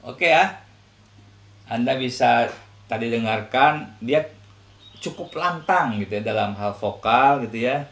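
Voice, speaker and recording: A man's voice: medium pitch, a little slow, gravelly, long pauses, a bit bright. A man with an Indonesian accent giving a casual, conversational product review, explaining as he goes. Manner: explanatory, conversational, often tags phrases with "ya" and "gitu". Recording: echoey, reverberant room.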